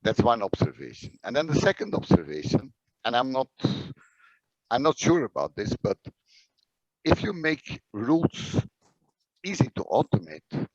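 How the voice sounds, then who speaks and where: A man's voice: mid-range voice, sing-song, measured pace, a little mellow, no room sound. A man offering informal expert remarks in a discussion, heard over muffled online call audio. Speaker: discussion participant.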